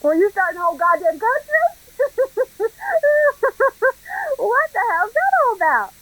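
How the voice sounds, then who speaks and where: A woman's voice: very high-pitched, very animated, measured pace, fairly bright tone, no room sound, full character voice, low-quality recording. A woman playing a smug, scheming Southern crime boss in a radio play, with an effusive, mock-genteel drawl. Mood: amused, conspiratorial.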